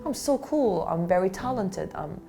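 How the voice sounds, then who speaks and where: A woman's voice: mid-range voice, lively intonation, moderate pace, vocal fry, dark tone, faint music behind. A woman from Morocco, a panel guest, telling her own story in an earnest, emotional way. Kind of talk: candid personal discussion.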